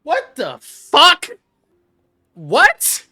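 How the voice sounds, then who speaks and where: A man giving casual live reactions as a game streamer, excitable and given to swearing. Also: very high-pitched, very slow.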